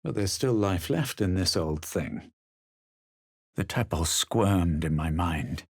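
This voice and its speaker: A man playing a haughty, self-assured young adventurer in a fantasy video game, speaking in a measured, theatrical way. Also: low voice, breathy edge, no echo, clean studio sound, exaggerated voice. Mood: measured, grave. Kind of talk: dramatic video game voice lines and narration.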